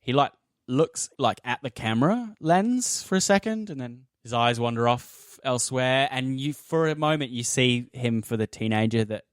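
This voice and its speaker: A man's voice: mid-range voice, animated, normal speed, smooth, no room sound, studio quality. A man narrating a tabletop game as game master in an informal, conversational way. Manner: informal, peppers descriptions with "like".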